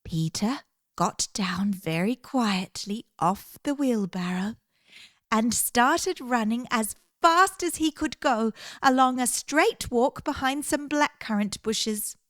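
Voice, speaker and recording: A woman reads a children's storybook aloud in a fairly high voice, taking her time over each phrase. Her delivery is gentle and expressive, and the sound is close and dry. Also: takes it slowly, no room sound.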